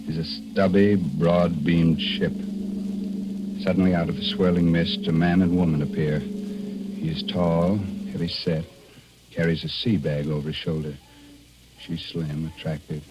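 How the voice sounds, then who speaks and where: An actor playing a gleefully macabre horror host and narrator in theatrical, teasing 1940s radio style. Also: male voice, low-pitched, low-quality recording. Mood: hushed, ominous. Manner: theatrical, teasing, drawn-out delivery. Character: gleefully macabre, mock-friendly male horror show host. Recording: 1940s radio broadcast with organ music.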